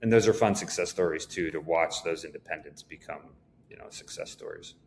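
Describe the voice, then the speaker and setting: A man speaking as an executive guest in a conversational business interview, explaining things in a measured way. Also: a bass voice, creaky, slight background noise.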